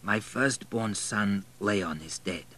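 A man voicing a dignified, resigned old blind baron in an audiobook, slow and laboured, weak and breathy, sinking toward whispers. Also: on the low side, a bit bright. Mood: solemn, failing. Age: older.

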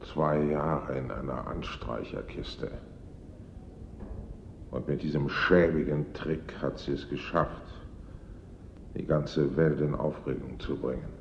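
Very deep-voiced, bitter inspector. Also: male voice, steady tone, slow pace, creaky, pauses often, exaggerated voice. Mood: bitter, resigned. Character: bitter, disillusioned male police inspector. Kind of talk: German radio play acting. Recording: echoey hall, muffled, noisy radio-play recording.

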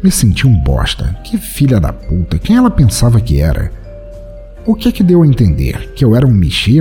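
A low, dark male voice acting a world-weary, hard-drinking poet in an audio drama. He tells his own story with sardonic brooding and a lively, swinging intonation. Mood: bitter, indignant. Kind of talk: first-person audiodrama narration of a short story.

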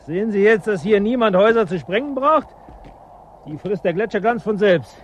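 A high-voiced, gruff frontier guide in an early-eighties radio play. He talks bluntly, with sardonic mockery. There is clear noise and ambience around the voice. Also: animated, moderate pace. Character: gruff, mocking male guide living at the ice frontier. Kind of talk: German radio drama acting. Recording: noisy early-1980s radio drama with ambience.

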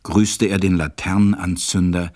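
A man's voice: sits low, little pitch movement, normal speed, darkish tone, no room sound, clean studio sound. A man narrating a storybook audio drama from a script.

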